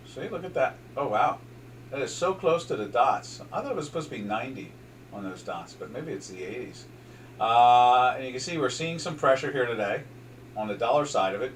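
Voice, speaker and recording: A man's voice: mid-range voice, recorded from a distance. An older man with a South Jersey accent hosts a casual trading chat, wandering between charts and asides with plenty of fillers and false starts. He sits a fair way from the microphone. A faint layer of background noise runs under his voice. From southern New Jersey, USA. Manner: rambling, chatty, many fillers and restarts. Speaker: trading show host. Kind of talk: casual market commentary over charts.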